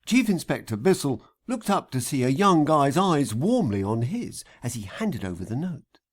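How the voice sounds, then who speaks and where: A man reading a satirical audiobook story in a theatrical, deliberate manner. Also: mid-range voice, sing-song. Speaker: author reading an audiobook extract. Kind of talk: scripted satirical audiobook narration.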